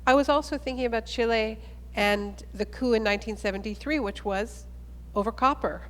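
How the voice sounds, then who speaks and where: A woman's voice: a little high, normal speed, long reverb tail. A woman moderating a conference panel, asking long, thoughtful questions. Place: conference plenary hall.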